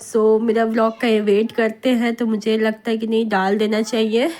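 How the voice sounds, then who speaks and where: A woman in her thirties from India, hoarse with a cold, narrating her home vlog in a chatty, rambling voice-over and mixing English words into her talk. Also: on the high side, completely flat delivery, moderate pace, darkish tone, a little hiss or hum. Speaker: vlogger.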